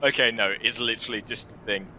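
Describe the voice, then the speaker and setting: A man hosting a gaming video, reading a visual novel aloud in an expressive, theatrical way with casual asides. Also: lowish voice, quick pace, a little hiss or hum.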